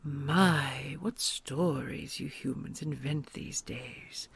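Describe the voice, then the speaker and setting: A woman voicing a kind, ancient queen dragon who whispers softly and gently, amused and patient, in an audio roleplay. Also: low voice, full character voice. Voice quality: breathy whispery, gravelly. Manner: slow soothing, amused, patient.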